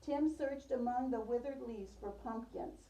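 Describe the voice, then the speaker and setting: A woman's voice: a little high, measured pace, dark tone. A woman teacher reading a picture book aloud to a preschool class, in the manner of storytime with questions. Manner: repeats and affirms pupils' answers. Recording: roomy, distant microphone.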